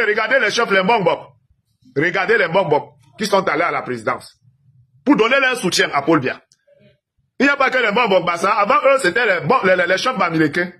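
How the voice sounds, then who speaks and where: Husky Cameroonian man lecturing viewers. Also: high voice, sharp tone. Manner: emphatic, lecturing, rhetorical questions to viewers. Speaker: political commentator. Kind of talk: direct-to-camera political monologue.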